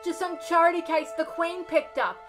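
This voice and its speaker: A woman playing an indignant, spiteful female pony with a shrill, accusing manner in a radio drama. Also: fairly even intonation, normal speed, music in the background.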